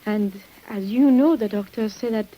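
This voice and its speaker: An actress playing a weary, secretive French nurse in an old radio drama, speaking formally and hesitantly with breathy sighs. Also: female voice, mid-range voice, normal speed, highs cut off, lightly acted. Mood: guarded, solemn. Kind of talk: 1950s radio drama acting. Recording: hissy old radio recording.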